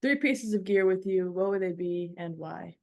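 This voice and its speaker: A woman's voice: lowish voice, moderate pace, creaky, warm tone. A woman working as a radio DJ, asking questions in a casual interview.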